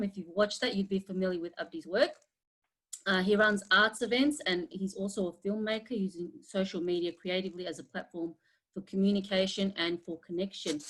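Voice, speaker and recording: A woman moderates a webinar over a video call. She introduces speakers in a hesitant way, full of small fillers and pauses. Her voice sits at a middle pitch. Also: low-quality recording. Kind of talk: hosting an online webinar.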